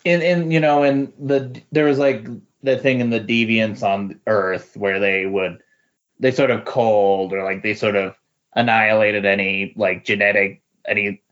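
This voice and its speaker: Man, lowish pitch, hesitant, conversational, filler-heavy fan podcast talk, slightly muffled recording. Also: steady tone, a bit bright. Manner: halting, tentative, peppers speech with "like". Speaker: podcast co-host discussing comics. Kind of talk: casual comics chat. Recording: dull lo-fi voice recording.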